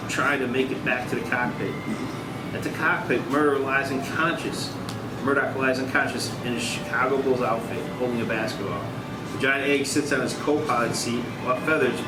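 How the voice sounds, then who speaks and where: A man reading out a screenplay's stage directions as narrator at a table read. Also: mid-range voice. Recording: echoey, distant mic, loud music behind.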